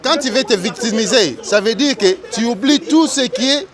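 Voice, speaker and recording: A man interviewing on the street, arguing his points and cutting in with quick agreement. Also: very high voice, expressive. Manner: argumentative, interjects agreement often. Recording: crowd noise behind.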